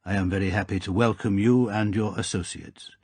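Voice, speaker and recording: A man's voice: on the low side, fairly even intonation, fairly quick, a little mellow, breathy edge, no echo. Man doing a cordial, formal villain. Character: cordial, ironic male mastermind, polished and formal.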